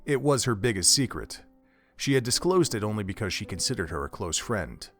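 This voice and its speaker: A man's voice: lowish voice, very animated, a little fast, soft background music. A man narrating an audio drama from a script, telling a story in the third person.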